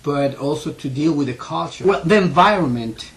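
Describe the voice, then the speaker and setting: A man in his forties from Ecuador with a heavy Spanish accent, telling his life story in an interview, earnest and halting with many fillers and restarts. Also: fairly high pitch, lively intonation, a bit bright. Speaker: interviewee, school owner.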